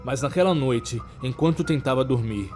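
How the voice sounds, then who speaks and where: A man with a Brazilian accent narrating a scripted horror story in a measured, dramatic way. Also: medium pitch, darkish tone, faint music behind.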